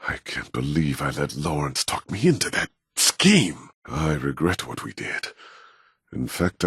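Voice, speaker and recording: An actor playing a proud, middle-aged robotics scientist in a video game, speaking in a measured, formal and condescending way with a husky voice. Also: male voice, low-pitched, dry recording, studio quality, exaggerated voice. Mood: solemn, grudging. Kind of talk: scripted video game dialogue.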